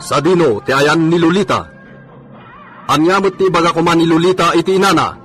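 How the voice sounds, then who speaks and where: A man's voice: fairly high pitch, brisk, fairly bright tone. A man with an Ilocano accent announcing a radio serial in a dramatic style. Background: music.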